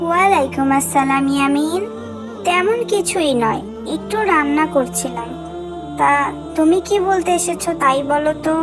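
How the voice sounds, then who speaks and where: A woman voicing a gentle, devout cartoon character who admonishes in an earnest, preachy way. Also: high voice, normal speed, music in the background, lightly acted. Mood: calm. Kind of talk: scripted cartoon dialogue.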